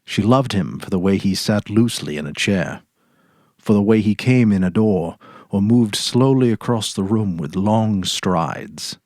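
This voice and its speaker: A man narrating a literary short story dramatically, in a measured, deliberate way. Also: fairly low pitch, lively intonation, darkish tone, no room sound, clean studio sound.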